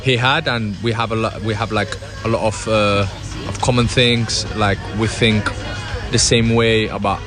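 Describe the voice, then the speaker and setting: A young man from Spain answers questions outdoors beside a hotel pool. His voice sits fairly low, and he keeps restarting and pausing with fillers. Music plays clearly in the background. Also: steady tone. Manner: halting, many restarts and fillers. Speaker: footballer being interviewed. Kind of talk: casual interview answers. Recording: music playing behind.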